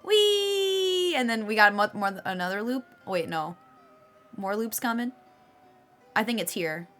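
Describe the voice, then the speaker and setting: Chatty woman streamer, a bit raspy. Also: a little high, lively intonation, unhurried, fairly bright tone, soft background music. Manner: chatty, laughs often, reacts to chat. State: slightly raspy voice. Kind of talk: casual live-stream chat.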